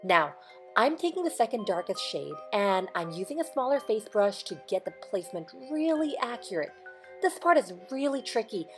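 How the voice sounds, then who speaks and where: Young woman, hoarse voice, makeup tutorial voiceover, chatty step-by-step instructions, mid pitch, faint music underneath. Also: very animated, moderate pace. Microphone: close voiceover mic.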